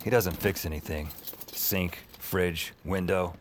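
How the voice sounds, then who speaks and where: A man playing a lonely, deadpan loner who narrates his own life in an audio drama. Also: low-pitched, takes it slowly, a little hiss or hum. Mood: wry, downcast. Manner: deadpan, wry. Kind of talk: first-person scripted narration, audio fiction. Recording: music under the voice.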